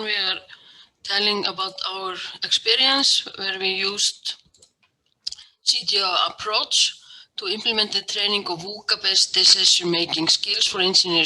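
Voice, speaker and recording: Bright-toned Icelandic woman presenter. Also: medium pitch, poor audio. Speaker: conference presenter.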